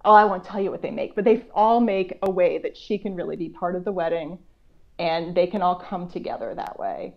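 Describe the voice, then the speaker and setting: A woman's voice: on the low side, animated, fairly quick. An American woman, a children's author, talking conversationally and enthusiastically in simple, child-friendly terms as an interview guest. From United States. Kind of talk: conversational interview chat about books. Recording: dull, lo-fi video call audio.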